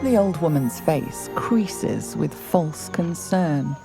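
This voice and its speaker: A woman playing the solemn narrator of a fantasy video game, hushed and deliberate. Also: low-pitched, lively intonation, gravelly, over music, heavily acted. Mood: knowing. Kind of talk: scripted second-person narration.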